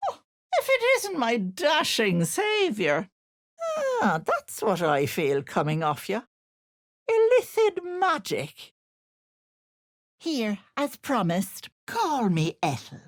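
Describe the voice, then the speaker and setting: Game role: older potion-selling witch auntie, syrupy and scheming, slow delivery with wildly animated pitch. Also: no room sound, clean studio sound, heavily acted. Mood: sugary, scheming. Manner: cooing endearments laced with menace. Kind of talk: video game character voice lines.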